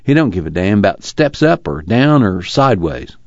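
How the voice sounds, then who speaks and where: A reader acts a plain-spoken young farm laborer with a drawl. He is blunt and skeptical, his pitch moving freely in this audiobook. The recording is dry and a little muffled. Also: big pitch swings, moderate pace, a little mellow, dry recording. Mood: blunt, resigned. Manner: drawling, ungrammatical rural speech.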